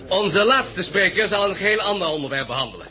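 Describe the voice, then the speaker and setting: A Dutch man acting in a 1960s radio drama as an earnest, resolute committee chairman, speaking in formal, measured oratory. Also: high voice, lively intonation, pushed and bright, exaggerated voice. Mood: formal, courteous. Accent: Dutch, Netherlands.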